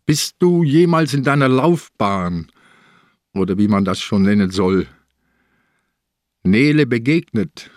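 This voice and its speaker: Old, probing police commissioner. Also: male voice, medium pitch, animated, slow pace, pauses often, no echo, studio quality. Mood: insistent. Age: older. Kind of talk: German radio crime drama acting.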